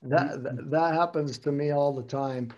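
A man's voice: a little high, steady tone, measured pace, a little mellow, highs cut off. An older man hosting an interview, asking long, meandering questions and repeating words as he thinks. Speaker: host. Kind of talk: casual interview.

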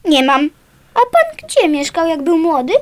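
An actress playing a sassy, sarcastic, streetwise runaway little girl in a radio play, quick to snap back. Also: very high-pitched, very animated, a little hiss or hum, full character voice. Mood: guarded, cheeky. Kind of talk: naturalistic radio drama acting.

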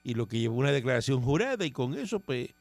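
A man's voice: medium pitch, very animated, quick pace, no echo. A Puerto Rican man hosting a call-in radio show, bantering in a teasing, sarcastic way. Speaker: radio host. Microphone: studio mic.

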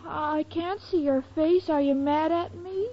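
High-voiced, slow, dim sweet girl. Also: lo-fi sound. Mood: apologetic, naive. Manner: naive, guileless, literal-minded. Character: sweet, dim-witted young woman, innocently causing disasters. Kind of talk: 1940s radio comedy acting. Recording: noisy old radio recording, loud studio audience.

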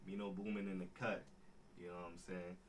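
A man's voice: low-pitched, little pitch movement, fairly quick. A young man hosting a casual interview, slangy and easygoing, often repeating short acknowledgements. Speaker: podcast host. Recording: distant mic with faint background noise.

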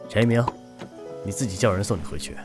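A man's voice: lowish voice, fast, natural voice. An actor playing a composed, terse male company boss in a Chinese audio drama, commanding yet restrained. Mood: calm, firm. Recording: music bed under the voice.

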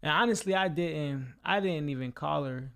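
A man in his twenties, a podcast guest, talking low-key and a bit hesitant. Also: moderate pace, vocal fry, fairly bright tone. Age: 20s. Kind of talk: casual chat.

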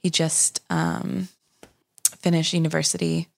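Low-voiced woman telling a family story. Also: a little slow, gravelly. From Sweden. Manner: relaxed, hesitant with frequent ums and uhs. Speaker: podcast guest. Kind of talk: casual conversational storytelling.

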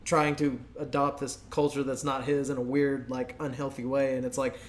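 A man's voice: mid-range voice, little pitch movement, moderate pace. A man co-hosting a podcast, talking casually and informally in an agreeable conversational way. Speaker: podcast co-host.

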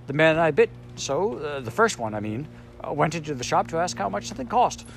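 A man's voice: on the high side, sing-song, fairly quick, vocal fry, slight background noise, pitched above the performer's own voice. A man voicing a grumpy, self-important magic sand creature in an audiobook, speaking in a cross, snappish way. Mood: anxious, coaxing. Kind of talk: audiobook character voice.

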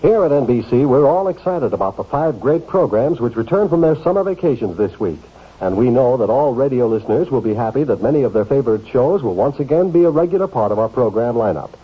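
A man's voice: mid-range voice, animated, fast talker, breathy edge. A man announcing a network promo in polished 1950s radio style. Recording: phone-like narrow old radio sound.

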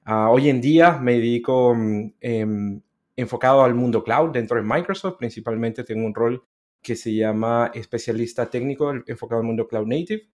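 A man's voice: mid-range voice, moderate pace, darkish tone. A man from America, a guest chatting in a podcast interview in a friendly, talkative way. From Central America. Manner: friendly, chatty, laughs easily. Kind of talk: casual interview chat.

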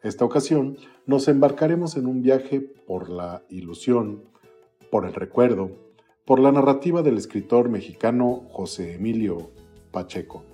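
A man hosting a literary podcast, reading an introduction and an author biography in a formal, measured way. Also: mid-range voice, warm tone, faint music behind. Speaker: podcast host.

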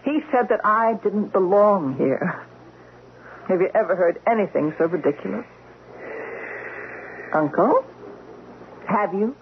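A woman's voice: mid-range voice, lively intonation, takes it slowly, long pauses. An actress playing an earnest, strong-willed young woman doctor in a radio drama, with a dramatic delivery. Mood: troubled. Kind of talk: vintage radio drama acting. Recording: dull, noisy old radio recording.

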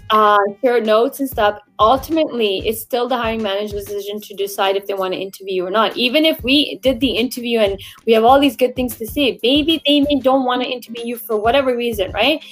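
A woman with a fairly high voice speaks as a recruiter co-hosting a livestream on job searching. She is blunt and emphatic, keeping a conversational flow. Faint music plays behind her. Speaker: recruiter and show co-host. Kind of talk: livestream career-advice discussion.

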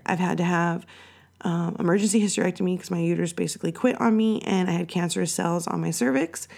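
A woman hosting her own podcast, raspy and hoarse from being sick, rambling and swearing casually. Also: lowish voice, darkish tone. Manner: rambling and profane, lots of fillers. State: sick, hoarser than usual. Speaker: podcast host. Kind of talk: casual solo podcast chat.

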